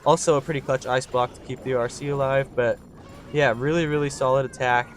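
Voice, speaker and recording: A slightly hoarse young man giving live commentary on a strategy game, talking through his thinking and getting excited on big plays. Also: medium pitch, brisk, a little hiss or hum. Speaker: gaming channel host.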